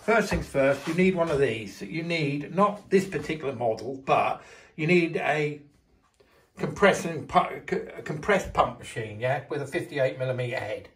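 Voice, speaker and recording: A man's voice: a little high, lively intonation, normal speed. An older man in a home kitchen, chatting casually through a how-to with lots of fillers and restarts, filmed on a handheld phone. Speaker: home video maker.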